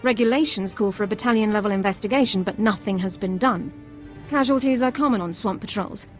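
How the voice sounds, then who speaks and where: A woman's voice: mid-range voice, a little fast, lightly acted. An actress voicing a strict, formal female army sergeant who gives precise, clipped military reports in a game cutscene. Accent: Imperial-sounding. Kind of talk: video game cutscene voice acting. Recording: game audio with music bed.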